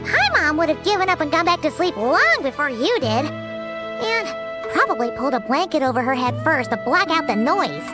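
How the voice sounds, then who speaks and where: A woman voicing a tiny, childlike fairy companion in a video game, squeaky, excitable and blunt. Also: normal speed. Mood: sympathetic. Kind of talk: video game character dialogue. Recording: studio voice under prominent music.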